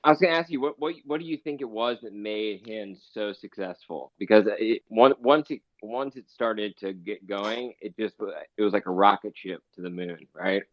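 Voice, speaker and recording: A male interviewer asking casual questions, halting and repeating words, on thin phone-like audio. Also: on the low side, dry recording. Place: live online audio group chat.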